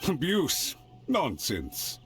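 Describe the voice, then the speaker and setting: A man's voice: fairly high pitch, wildly swooping pitch, drawn-out words, faint music behind, full character voice. A man voicing a smug, slippery official in a video game, pompous and florid with mock-polite indignation. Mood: feigned indignation.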